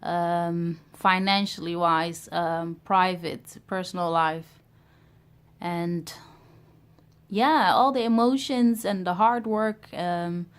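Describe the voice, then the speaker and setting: Woman in her 30s vlogging, kinda low voice, slow and thoughtful, lots of ums and pauses. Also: smooth. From Ghana. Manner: reflective, hesitant, many pauses and fillers. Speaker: vlogger starting a business. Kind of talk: personal vlog talk to camera.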